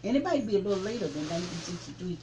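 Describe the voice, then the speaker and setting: A man in his seventies, a reverend, recalling the past in an interview with a preaching cadence that rises to forceful emphasis. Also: high-pitched, lively intonation, rapid-fire, background noise. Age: 70s. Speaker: reverend, civil rights veteran. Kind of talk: interview reminiscence.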